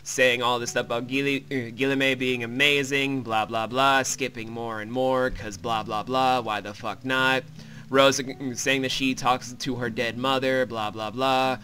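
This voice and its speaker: A man's voice: mid-range voice, flat delivery, unhurried, bright, forceful tone. A man hosting a joking, crude and irreverent recap of a video game. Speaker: host, self-described comedic voice actor. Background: game music.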